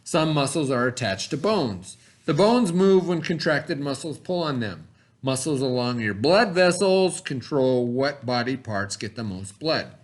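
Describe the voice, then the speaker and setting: A man teaching by reading aloud to pupils, pausing to explain in casual asides and check that they follow. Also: mid-range voice, big pitch swings. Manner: pauses to explain, checks in with "okay?".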